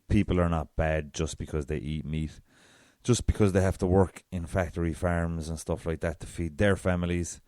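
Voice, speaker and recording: An Irish man with a very deep voice hosting a solo podcast, chatty and self-deprecating. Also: dark tone, no room sound, studio quality. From south of Ireland. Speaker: podcast host.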